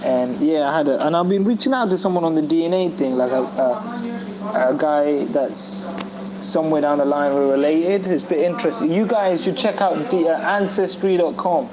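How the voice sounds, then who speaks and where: A man's voice: on the high side, moderate pace, a crowd in the background, poor audio. A man in a casual group discussion, rambling through a personal story with many restarts and fillers. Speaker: discussion guest. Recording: audible background noise.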